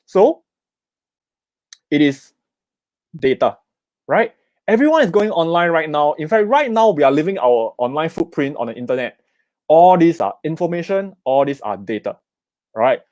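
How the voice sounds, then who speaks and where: A man's voice: a little high, sing-song, moderate pace, pauses often, darkish tone, dry recording, clean studio sound. A middle-aged man with a Singaporean accent, giving an investment talk at an online summit. He keeps asking "okay?" and "right?" and urges viewers to type in the comments. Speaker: investment speaker. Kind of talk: live online seminar talk.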